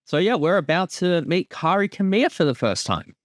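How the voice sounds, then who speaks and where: Animated man giving casual commentary. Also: sits high, normal speed. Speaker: creator giving episode commentary.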